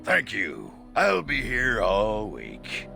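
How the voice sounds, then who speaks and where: A man playing a crude, reckless dwarf warrior in a video game, brash and gruff with a gravelly voice. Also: lowish voice, wildly swooping pitch, slow pace, pushed and bright, heavily acted. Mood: gloating humor. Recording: music behind the voice.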